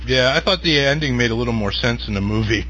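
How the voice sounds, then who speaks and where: A man's voice: mid-range voice, lively intonation, quick pace, fairly bright tone. A man hosting a casual talk show, teasing his guests and laughing often. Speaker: podcast host. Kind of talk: casual chat. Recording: dull lo-fi call audio with clear hiss.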